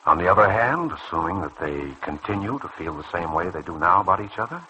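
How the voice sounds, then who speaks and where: Deep-voiced, earnest persuasive doctor. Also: male voice, expressive, bright, forceful tone. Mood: persuasive, reassuring. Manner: measured, probing, earnest delivery. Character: calm, earnest, persuasive male doctor counselling a younger colleague. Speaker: radio serial actor. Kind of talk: vintage radio soap opera acting. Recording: noisy old radio drama recording.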